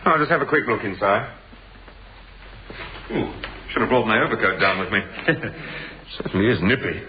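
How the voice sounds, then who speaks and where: An actor playing a blustering, demanding middle-aged British business boss in a radio drama. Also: male voice, lowish voice, animated, normal speed, lightly acted. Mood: brisk. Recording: dull, hissy radio drama recording.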